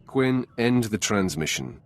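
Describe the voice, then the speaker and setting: An actor playing a commanding, self-assured Sith lord in a video game, with an authoritative, measured manner. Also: male voice, on the low side, sing-song. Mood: stern.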